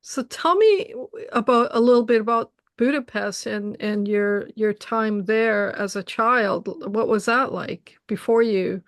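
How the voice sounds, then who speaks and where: A woman's voice: a little high, a little slow, hardly any pauses, no room sound. A woman from Tanzania, raised in Canada, hosting an interview with frequent short affirmations. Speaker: interview host.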